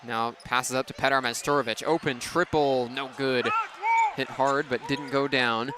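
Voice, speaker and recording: A young man does live radio play-by-play into a headset mic. His phrases are short and clipped and build into excited calls. His voice sits at a medium pitch, with clear gym noise and ball bounces behind it. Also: moderate pace. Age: young adult. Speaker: radio play-by-play sports announcer. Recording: noisy gym with court sounds behind. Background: gym ambience, bouncing ball.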